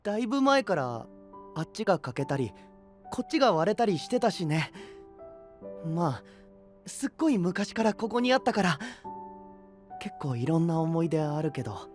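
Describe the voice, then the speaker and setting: 海の国を守る若い青年を演じる男性声優。柔らかく内省的で、真摯な話し方。. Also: male voice, fairly high pitch, very animated, moderate pace, long pauses, soft background music. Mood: wistful. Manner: soft, introspective, earnest. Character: gentle young male prince of a sea kingdom. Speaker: voice actor. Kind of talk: anime voice drama.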